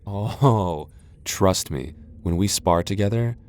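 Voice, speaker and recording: Casting: male actor as haughty tsundere vampire lord, low-pitched, prickly and easily flustered, for intimate boyfriend roleplay audio. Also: slow, darkish tone, clean tone. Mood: defensive, boastful. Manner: haughty, defensive, stammering when flustered. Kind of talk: ASMR boyfriend roleplay acting.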